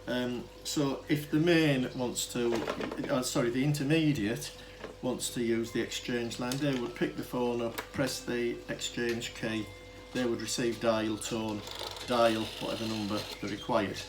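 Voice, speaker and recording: Male, average pitch, unscripted hobbyist demonstrator, halting and self-correcting, noisy amateur camera sound. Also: steady tone. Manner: hesitant, rambling, self-interrupting explanation. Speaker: hobbyist demonstrator of vintage telephone equipment.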